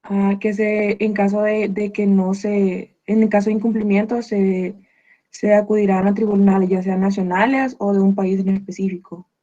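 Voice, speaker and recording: A woman's voice: medium pitch, flat delivery, moderate pace, mellow, muffled recording. A female law student answering her professor in an online class, hesitant and restarting her sentences.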